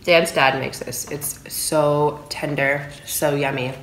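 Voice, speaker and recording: A woman in her thirties talks casually between bites of food at her dining table. Her voice sits a little low and relaxed, picked up by a phone in an echoey room with a faint background hum. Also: slow pace. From San Francisco, USA. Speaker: food vlogger. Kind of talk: casual eating vlog. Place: small room at home, indoors at a dining table. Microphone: phone camera on the table.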